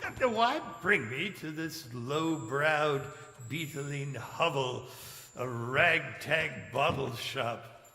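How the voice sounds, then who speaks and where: An actor playing a fearful, repentant old miser in a stage radio play, stammering and pleading in theatrical tones. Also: male voice, a little high, expressive, bright, forceful tone, exaggerated voice. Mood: bewildered, earnest. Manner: halting, stammering, earnest and theatrical. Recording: echoing live hall stage recording.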